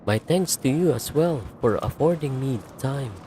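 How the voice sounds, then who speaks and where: A man playing a solemn, courteous adventurer in a video game, speaking formally and deliberately. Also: mid-range voice, lively intonation, dark tone, slight background noise, close to an everyday voice. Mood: courteous, resolved. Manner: formal, deliberate, archaic politeness.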